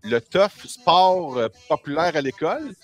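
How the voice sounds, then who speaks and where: A man's voice: on the high side, lively intonation, unhurried. A man with a Quebec French accent hosting a casual group chat, explaining things in colloquial language. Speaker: host.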